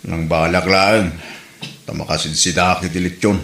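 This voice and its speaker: A man's voice: low-pitched, steady tone, moderate pace, noisy background. Echoey, grumbling husband played by a man. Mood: casual. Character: defensive, hungry husband and father. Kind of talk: amateur comedy drama acting. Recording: roomy, echoing room sound.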